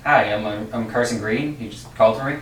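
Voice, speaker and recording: A man's voice: mid-range voice, brisk, fairly bright tone, close to an everyday voice. A man playing a nervous, polite office employee in an amateur table read, speaking hesitantly with stammers. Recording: echoey room, distant mic, faint noise.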